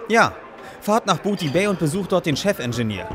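A man's voice: high voice, sing-song, fairly quick, background noise. A man playing a matter-of-fact marshal handing out quests in a fantasy parody radio play, formal and instructive. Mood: official, calm.

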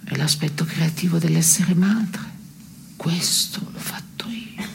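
An actress playing a blunt, disenchanted daughter in an absurdist radio stage play, with terse, deadpan delivery. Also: female voice, deep voice, measured pace, creaky, in a whisper, exaggerated voice. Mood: wry. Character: blunt, disenchanted daughter recounting family indignities.

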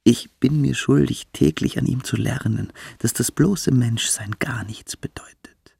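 A man acting in a German radio play as a cruel, mystical teenage boarding-school boy, lecturing and brooding, sometimes hushed. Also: medium pitch, a little slow, vocal fry, breathy edge, no echo, exaggerated voice. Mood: fanatical, intense.

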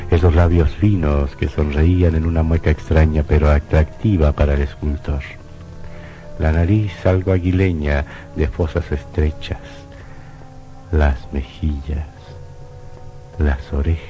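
A man's voice: rumbling low, steady tone, unhurried, mellow, dull audio. A man narrating a horror radio play in a suspenseful, sinister, theatrical way. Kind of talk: dramatic scripted horror narration. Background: music.

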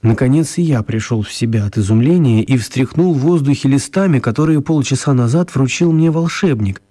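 A man's voice: medium pitch, fairly quick, dark tone, natural voice. An actor narrating an audio drama as a writer telling his own magical tale. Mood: storytelling. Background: music.

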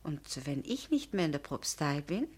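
Casting: earnest, proud young lady for radio drama, lowish voice, direct, emphatic. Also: big pitch swings, moderate pace, darkish tone, breathy edge, full character voice. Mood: drily pointed. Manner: direct, emphatic, pleading or drily pointed. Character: proud, earnest, frank young woman engaged to marry. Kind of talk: German radio drama acting.

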